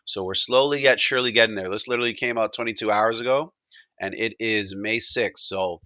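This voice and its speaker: Bright-voiced, low-pitched Canadian man presenting. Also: a little fast, dry recording. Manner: conversational, plain-spoken, explanatory. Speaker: presenter, digital and AI consultant. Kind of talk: informal presentation.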